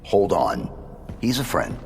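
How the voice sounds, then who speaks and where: A man's voice: low voice, sing-song, slow pace, creaky, long reverb tail, slight background noise, full character voice. A man playing a stoic, terse male super-soldier in a video game, with a commanding delivery. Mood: guarded, calm. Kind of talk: video game cutscene acting.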